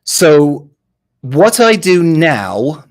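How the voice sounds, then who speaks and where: Enthusiastic London-born video producer. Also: male voice, fairly high pitch, extremely slow. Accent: English, London. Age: 40s. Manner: enthusiastic and animated. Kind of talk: interview answers.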